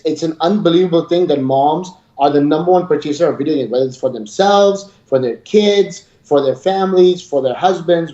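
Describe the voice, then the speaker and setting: A man in his thirties gives chatty, emphatic interview answers. His voice sits a little high and is soft-edged and mellow. The recording is muffled, with clear room echo. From Dubai, UAE. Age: 30s. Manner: talkative, informal, emphatic. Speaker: interview guest, gaming company regional director. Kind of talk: enthusiastic conversational interview answers. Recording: muffled, echoey room sound.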